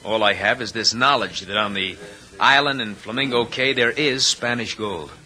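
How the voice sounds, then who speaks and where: Casting: slick, insistent young con artist, piercingly bright low voice, vintage radio drama. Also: male voice, moderate pace. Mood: eager, insistent. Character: eager, scheming young college-educated swindler. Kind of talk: 1940s-50s radio drama acting. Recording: noisy vintage radio recording.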